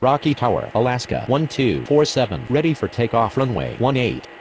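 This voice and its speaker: A man voicing an airline pilot and clearance exchanges in a game, in clipped, procedural radio phraseology. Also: mid-range voice, very animated, moderate pace, a little mellow, a little hiss or hum. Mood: businesslike.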